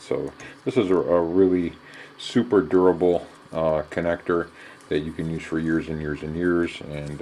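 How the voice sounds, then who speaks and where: A middle-aged man giving a casual hands-on how-to demonstration in an unhurried, conversational way with hesitant pauses. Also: rumbling low, darkish tone. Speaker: DIY channel host. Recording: clear background noise.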